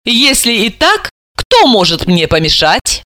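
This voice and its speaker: A man's voice: very high voice, huge pitch swings, unhurried, bright, forceful tone, no room sound, studio quality, voice pushed up, full character voice. A man voicing a hot-tempered, stubborn young woman who speaks sharply and indignantly.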